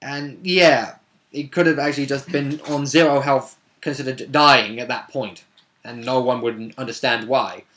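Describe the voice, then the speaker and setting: A man's voice: mid-range voice, steady tone, unhurried, fairly bright tone. Rambling man recounting a game session. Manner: rambling, wordy, with asides and self-corrections. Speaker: vlogger recapping a tabletop roleplaying session. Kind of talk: casual unscripted storytelling recap.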